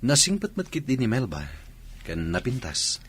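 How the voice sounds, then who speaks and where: An actor with a Filipino accent playing a level-headed, brooding soldier who gives advice in a radio drama. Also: male voice, medium pitch, wildly swooping pitch, normal speed, a bit bright, breathy edge, heavily acted. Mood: wry, steady. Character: level-headed soldier, brooding and quietly advising his comrades. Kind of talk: Ilocano radio drama acting.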